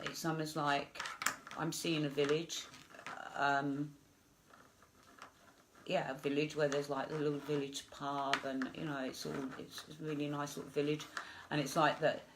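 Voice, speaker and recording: A woman's voice: low-pitched, little pitch movement, normal speed, background noise. A woman with a stuffed-up voice from a flu, giving a chatty live psychic reading with many fillers. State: congested with flu. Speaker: psychic medium.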